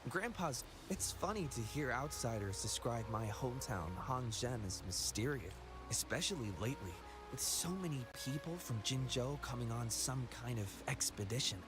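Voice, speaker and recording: A man's voice: medium pitch, expressive, moderate pace, over music, natural voice. An actor playing a friendly, talkative young male villager in a game, retelling local legends. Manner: friendly, storytelling. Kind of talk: game voice acting.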